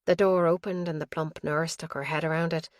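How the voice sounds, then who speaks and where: Give me a low-voiced woman reading an audiobook, measured and steady, dry sound. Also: no echo. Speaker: audiobook narrator. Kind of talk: scripted narration.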